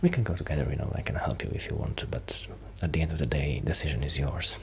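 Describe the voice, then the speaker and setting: Male performer as quiet, bashful musician friend for ASMR roleplay: hushed, hesitant, very deep with vocal fry. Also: quick pace. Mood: softly enthusiastic. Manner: hesitant, rambling, self-interrupting. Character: shy, quiet young male musician friend who rambles about music. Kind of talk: soft-spoken ASMR roleplay with whispering.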